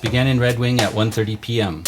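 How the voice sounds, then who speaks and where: A man narrating a documentary in a relaxed, conversational storytelling way, trading lines with a partner. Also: mid-range voice, quick pace, loud music behind the voice.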